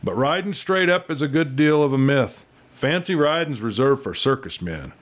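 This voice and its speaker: A man narrates a western novel in a folksy, dramatic way. His voice sits at a medium pitch, and he tells it as a storyteller would, with plenty of expression. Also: expressive, normal speed. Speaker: audiobook reader and storyteller.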